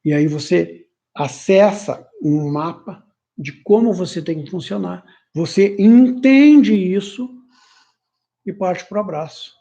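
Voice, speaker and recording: A man giving an informal, animated self-development lecture, sometimes mimicking complaints in a higher voice. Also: slow pace, vocal fry. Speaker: personal development teacher. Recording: muffled lo-fi audio.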